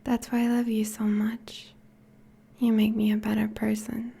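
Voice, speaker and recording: A woman playing a possessive, teasing yet tender vampire girlfriend in an intimate, whispery ASMR roleplay, speaking softly and coaxingly. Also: fairly high pitch, monotone, exaggerated voice. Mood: loving, intimate. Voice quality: breathy, whispery. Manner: slow, soft, coaxing.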